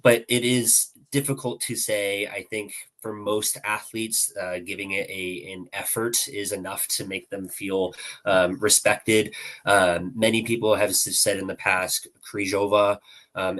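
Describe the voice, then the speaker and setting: A man's voice: deep voice, a bit bright. A man talking as a guest analyst on a hockey podcast, hesitant, with many ums and restarts. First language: not Czech. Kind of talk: podcast interview chat.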